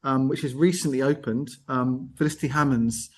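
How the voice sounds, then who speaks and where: A man's voice: medium pitch, fairly even intonation, normal speed. A man hosting a casual live arts call, talking in a chatty, discursive way. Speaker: host of an arts network online call. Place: on location at an art gallery, live video call.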